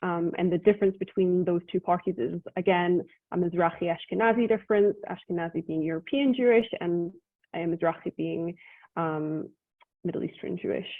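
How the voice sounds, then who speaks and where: A woman's voice: fairly low pitch, normal speed, no room sound. A woman with a Scottish Israeli accent, giving an informal talk as a guest speaker, articulate and conversational, with frequent ums. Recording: tinny dry phone-like call audio.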